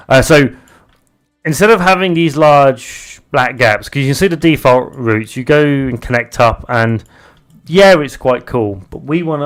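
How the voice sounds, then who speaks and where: Young British male streamer, mid-pitched, loose rambling chat with frequent restarts. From Britain. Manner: rambling, halting, restarts often while reading viewer chat aloud. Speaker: live streamer and game developer. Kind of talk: casual live stream chat.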